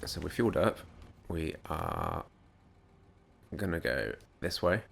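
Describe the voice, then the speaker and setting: A man with a slightly croaky voice, giving rambling, self-mocking gameplay commentary. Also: very deep voice, wildly swooping pitch, slow pace, slight background noise. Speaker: gaming YouTuber.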